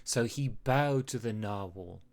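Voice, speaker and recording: A storyteller reading a rhyming children's story aloud with expressive, dramatic phrasing. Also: mid-range voice, measured pace, gravelly. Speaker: storybook reader. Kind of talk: children's read-aloud narration in rhyme.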